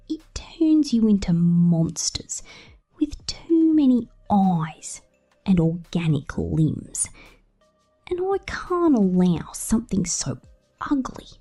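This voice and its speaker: Woman voicing a slow, whispery, possessive robot mechanic. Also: on the low side, dark tone, husky, heavily acted. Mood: sweetly threatening. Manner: slow, teasing, cooing, intimate. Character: possessive, sinister robot mechanic, sweetly menacing captor. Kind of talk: ASMR roleplay, partly whispered.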